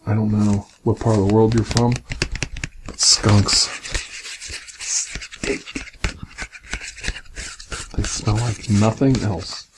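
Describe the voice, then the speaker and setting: Man, low voice, very slow pace, soft half-whispered ASMR bedtime reading, close binaural mic, background noise. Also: level tone. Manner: soft-spoken, partly whispered, slow and gentle. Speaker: host reading a picture book aloud. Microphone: close binaural microphone.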